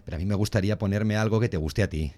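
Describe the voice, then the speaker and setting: A man's voice: lowish voice, racing, darkish tone, close to an everyday voice. A man playing a meek, vain husband in a radio drama, fussy, plaintive and coaxing. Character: vain, meek, submissive husband obsessed with his looks.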